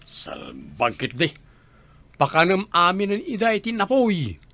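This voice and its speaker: A man's voice: high-pitched, wildly swooping pitch, unhurried, gravelly, pushed and bright, no echo, full character voice. A man acting a selfish, grumbling husband with a roving eye, in an Ilocano-accented radio comedy drama. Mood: irritated.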